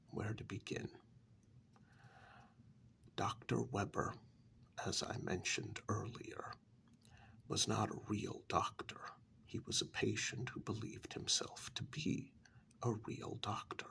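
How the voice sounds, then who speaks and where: Man acting a creaky half-whispering indignant gentleman. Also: on the low side, full character voice. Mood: grave, dismayed. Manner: measured, deliberate, formal wording with dramatic pauses. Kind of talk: soft-spoken ASMR storytelling role-play.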